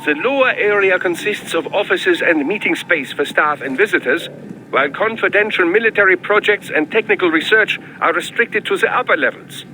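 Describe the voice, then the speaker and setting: An actor playing an eager, fussy scientist with a German accent, giving precise, theatrical mission advice in a video game. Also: male voice, sits high, moderate pace, pushed and bright, faint music behind, poor audio. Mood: explanatory. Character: excitable, fussy European male scientist mission adviser. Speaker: mission support over radio.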